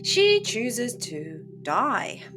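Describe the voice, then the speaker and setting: A woman's voice: a little high, wildly swooping pitch, drawn-out words. A woman hosting a channel, telling and commenting on stories in a casual, opinionated, sardonic and conversational way. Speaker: host of a book channel. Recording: music playing behind the voice. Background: instrumental music.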